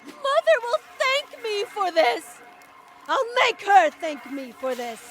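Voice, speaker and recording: An actress playing a devout, proud and troubled priestess in a video game cutscene, speaking solemnly and with command. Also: female voice, extremely high pitch, big pitch swings, takes it slowly, heavy background noise, exaggerated voice. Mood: fierce.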